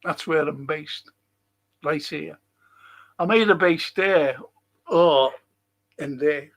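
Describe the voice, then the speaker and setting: A man's voice: on the high side, slow pace, a bit bright. A man with a Liverpool accent hosting a casual livestream, rambling and repeating phrases for emphasis. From England. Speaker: livestream host. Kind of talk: casual livestream commentary reading viewer comments.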